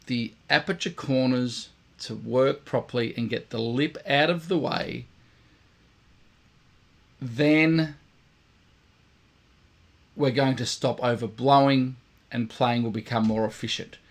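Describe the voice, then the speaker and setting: A man, a brass teacher giving blunt, emphatic instruction to camera, his voice croaky and tired today. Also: mid-range voice, slow pace, pauses often. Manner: emphatic, blunt, repeats points for stress. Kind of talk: direct instructional talk to camera.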